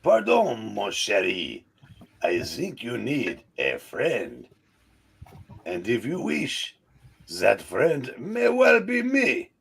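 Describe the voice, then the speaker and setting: Pompous, melodramatic French master thief. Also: male voice, mid-range voice, wildly swooping pitch, slow, gravelly, slightly rough, heavily acted. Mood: oily charm. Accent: mock French. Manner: melodramatic, grandiose, swinging from menace to exclamation. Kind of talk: hammy comic-book villain read aloud.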